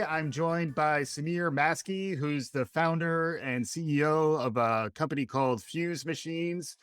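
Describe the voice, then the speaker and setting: A man hosting an education podcast, introducing and questioning his guest in a friendly, measured way. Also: mid-range voice, fairly bright tone, clean tone, dry recording, studio quality. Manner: friendly, measured, affirming with short backchannels. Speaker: podcast host.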